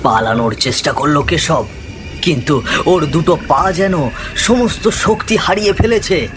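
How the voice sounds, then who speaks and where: A man's voice: fairly high pitch, measured pace. A man narrating a scripted ghost story in a dramatic storytelling style. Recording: music behind the voice. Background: music.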